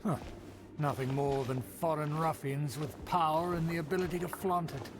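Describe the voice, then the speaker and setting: An actor playing a haughty young male aristocrat who speaks in a formal, pompous, drawn-out way, heard as game audio. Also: on the high side, steady tone, natural voice. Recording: game audio with clear noise.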